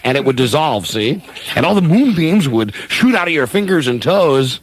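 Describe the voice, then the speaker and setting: A man's voice: on the high side, sing-song, moderate pace. A man playing an earnest, playful young small-town man in a comedic radio play, with a drawling, hesitant delivery. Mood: romantic, eager. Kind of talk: comedic radio-play read of a classic film script.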